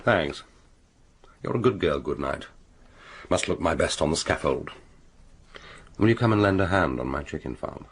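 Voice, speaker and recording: A jaded male secret agent in a radio play. His English voice is very low and slightly rough. He speaks with weary, understated politeness, as if little excites him. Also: moderate pace, pauses often, no echo, exaggerated voice. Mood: dry, distracted. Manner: weary, dry, understated, deferential to superiors. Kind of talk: radio drama acting with inner monologue.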